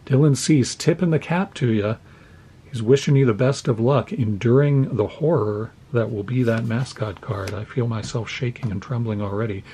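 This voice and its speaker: A man from the United States, slightly hoarse, opening trading cards at his desk at home and narrating each pull with deadpan mock horror. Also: mid-range voice, slight background noise. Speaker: card-opening channel host. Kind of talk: mock-scared card-opening commentary. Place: home desk with Halloween decor.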